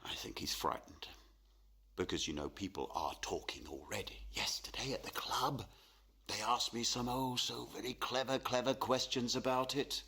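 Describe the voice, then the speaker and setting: A man's voice: medium pitch, moderate pace, gravelly, pushed and bright, slightly rough, exaggerated voice. An actor playing a gloomy, superstitious and proud middle-aged portrait painter and father in a radio play, brooding and theatrical. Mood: agitated, worried. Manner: theatrical, brooding, self-pitying.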